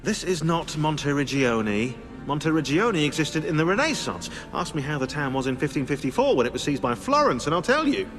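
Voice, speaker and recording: A man's voice: a little high, very animated, fairly quick, hardly any pauses, fairly bright tone. A man voicing a sarcastic, bookish historian in a video game, with a pedantic, sardonic way of talking. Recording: long hall reverb, music underneath.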